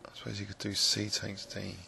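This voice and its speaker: A man with a croaky, gravelly voice, musing hesitantly with many fillers as he commentates his own blitz chess game. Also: fairly low pitch, monotone, a little fast, very noisy. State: croaky voice, clearing throat. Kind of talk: live game commentary, thinking aloud.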